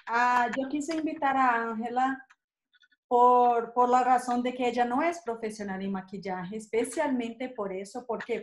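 A woman's voice: a little high, darkish tone. A woman in her thirties from Colombia with a Colombian accent, hosting a casual live makeup chat from a small room on a webcam, often checking in with "¿sí?". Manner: chatty, tags questions with "¿sí?". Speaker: host of a live makeup session. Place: small indoor room, seated at a desk. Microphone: webcam at desk distance.

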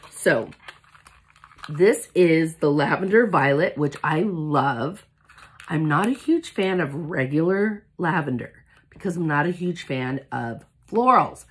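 A woman in her forties who has lost her voice, talking hoarsely with a cough in a chatty, gushing unboxing vlog, seated in a small room in front of a phone camera. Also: lowish voice. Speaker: independent product consultant. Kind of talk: casual product unboxing vlog. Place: small indoor room with shelves, seated at a table.

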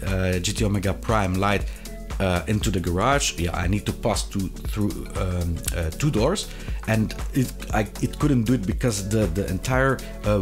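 Low-voiced man reviewing gear, halting delivery. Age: 30s. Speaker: video reviewer of sim racing gear. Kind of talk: semi-scripted product review to camera. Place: small indoor hobby room.

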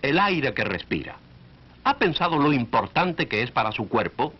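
Male narrator for a 1940s health short: instructive, lively intonation, addresses the viewer directly, muffled old film sound. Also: mid-range voice, normal speed, husky. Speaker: narrator of an educational cartoon. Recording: dull, band-limited 1940s film soundtrack.

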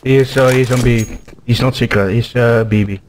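Young Dutch gamer on a headset. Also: male voice, mid-range voice, steady tone, moderate pace, poor audio. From Netherlands. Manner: laid-back, joking, calls out enemy positions. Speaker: gamer on voice chat. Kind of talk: casual in-game voice chat. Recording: faint background noise.

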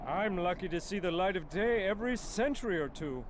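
An actor voicing a big-hearted, wisecracking genie in a video game, with an expressive, showy comic delivery. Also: male voice, sits high, brisk, noisy background. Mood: wry. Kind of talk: video game cutscene acting.

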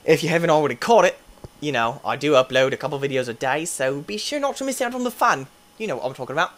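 A young man doing goofy gameplay commentary, tired, his voice deeper late at night, swinging between low mumbles and excited yelps. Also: quick pace. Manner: goofy, playful, jumps between mumbling and yelping. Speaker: gaming youtuber.

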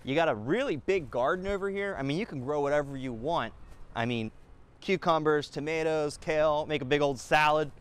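A man in his thirties giving an upbeat real estate walkthrough of a house, listing features in quick bursts as he walks through the rooms. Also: fairly high pitch, smooth. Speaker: real estate agent giving a home tour. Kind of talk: enthusiastic walkthrough tour. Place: inside and around a single-story house.

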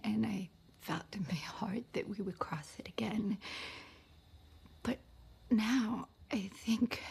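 Woman acting hushed, creaky woman confessing forbidden love. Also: mid-range voice, exaggerated voice. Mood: emotional, yearning. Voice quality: breathy, whispery, creaky. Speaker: actress performing a film monologue. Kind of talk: intimate dramatic monologue.

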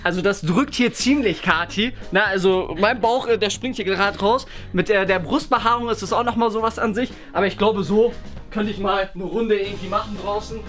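A young man hosting a casual clothes-swap video in a bright indoor room, joking and mocking himself as he talks. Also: high-pitched, brisk. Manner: joking, self-mocking banter. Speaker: channel host. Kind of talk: casual challenge video chat. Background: music.

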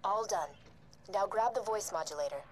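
An actress playing a calm young female AI guide in a video game, giving measured, precise mission instructions. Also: medium pitch, slight background noise, natural voice. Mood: calm, guiding. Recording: loud game effects behind voice.

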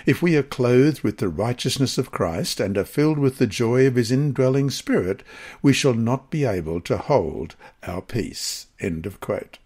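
Husky man reading a devotional lesson. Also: mid-range voice, expressive, moderate pace, a little mellow. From Australia. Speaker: lesson reader. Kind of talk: scripted devotional narration, reading aloud.

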